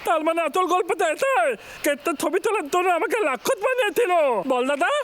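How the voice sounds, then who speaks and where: Very squeaky gullible cartoon bear. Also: female voice, animated, quick pace. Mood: puzzled. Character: gullible childlike cartoon bear, simple, boastful, easily scared. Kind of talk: dubbed children's cartoon acting.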